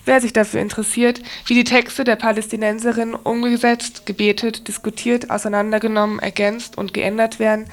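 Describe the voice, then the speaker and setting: Woman on local radio reading out notices, highish voice, pretty monotone, measured. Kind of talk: reading announcements and listener letters on radio.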